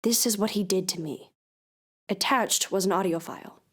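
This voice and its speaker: An actress plays a female investigative journalist in an audio drama. Her voice is mid-pitched, and she speaks with earnest, deliberate determination, like a podcast host. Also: a bit bright, natural voice. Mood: grave narration.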